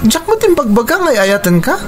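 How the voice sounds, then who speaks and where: A man's voice: very high-pitched, sing-song, fairly quick. A callous young male lover with an Ilocano accent. He sounds evasive and dismissive, in radio drama style with light music underneath. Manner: defensive, dismissive. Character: callous, evasive young male boyfriend who disowns responsibility.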